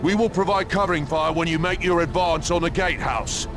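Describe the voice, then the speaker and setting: A male actor plays a dutiful infantry sergeant in a sci-fi war game. His fairly high voice projects clipped reports through loud music and artillery. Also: brisk, close to an everyday voice. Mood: urgent, dutiful. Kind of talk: military video game voice acting. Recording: loud music and battle noise.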